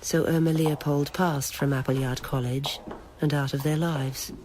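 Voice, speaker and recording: A woman's voice: low voice, steady tone, unhurried, background noise. A woman reading a dramatic scripted narration of a novel.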